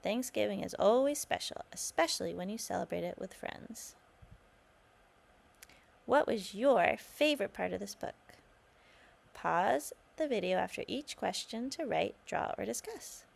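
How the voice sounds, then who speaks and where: A woman's voice: mid-range voice, creaky, darkish tone. A woman reading a children's storybook aloud as scripted narration.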